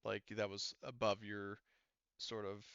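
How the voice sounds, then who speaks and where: A man acting as game master in casual tabletop talk, haltingly thinking aloud as he explains. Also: fairly low pitch, little pitch movement, gravelly, fairly bright tone, clear, resonant tone, no echo, lo-fi sound.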